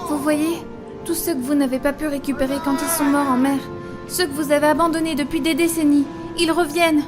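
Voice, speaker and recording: A woman's voice: high-pitched, fairly even intonation, brisk, lightly acted. A woman playing an anxious, earnest young villager who sees spirits, acting with urgent emotion in a French audio drama. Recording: prominent music behind the voice.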